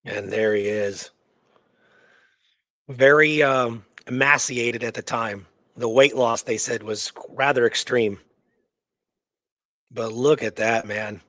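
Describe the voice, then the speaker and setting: A man's voice: medium pitch, takes it slowly, pauses often, a bit bright, dry recording. Guy co-hosting a history radio chat, conversational, keeps checking in w right and you know, really dry room. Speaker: radio show co-host. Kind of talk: casual discussion and retelling of historical research.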